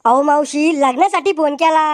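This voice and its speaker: A man voicing a blunt, mocking young village man in a cartoon, with a Varhadi Marathi accent and a pitched-up voice. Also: moderate pace, dry recording.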